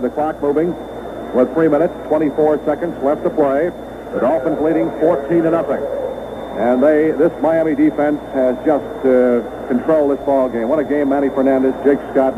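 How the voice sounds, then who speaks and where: A man's voice: on the high side, narrow, tinny audio, noisy background. An American man calling live football play-by-play on an old radio broadcast. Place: stadium broadcast booth.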